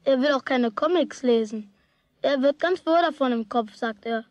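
Woman playing a stubborn, high-voiced young boy. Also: moderate pace, a little mellow, dry recording, lo-fi sound, lightly acted. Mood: pondering, matter-of-fact. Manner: blunt, colloquial, grumbling. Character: stubborn, outspoken young boy fed up with his name. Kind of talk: German children's radio play acting.

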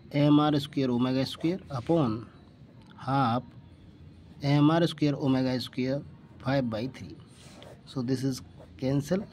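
A man with an Indian accent tutoring physics, working through a problem step by step in deliberate, halting phrases. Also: medium pitch, darkish tone, slight background noise. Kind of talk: step-by-step worked-problem lesson.

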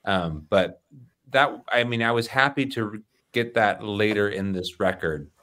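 A man in his thirties in casual review chat, halting as he thinks aloud. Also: lowish voice, pushed and bright. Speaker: album review panelist. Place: small home room. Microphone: close desk microphone.

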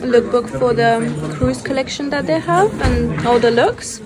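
A woman's voice: a little high, measured pace, a loud crowd. A young woman chatting casually and enthusiastically to camera as she vlogs a shopping trip. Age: young adult. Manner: enthusiastic, gushing. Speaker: fashion vlogger. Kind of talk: casual shopping vlog chat. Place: inside a large department store and boutiques. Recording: busy store background, music playing.

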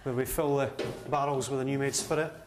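A man's voice: medium pitch, fairly even intonation, brisk, over music. A man in his thirties explaining his distillery plainly, with a lot of "uh". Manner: plain, matter-of-fact explanations with frequent "uh". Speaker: distillery owner. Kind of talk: explaining on a tour. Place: indoors in a distillery still house and a cask warehouse.

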